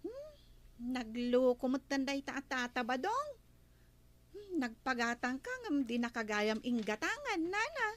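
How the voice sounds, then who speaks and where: A bossy, inquisitive village captain's wife in Ilocano radio comedy. She speaks in a moderately high voice with very animated intonation. Her accent is Ilocano Filipino. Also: a little slow. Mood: skeptical. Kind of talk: radio comedy drama acting.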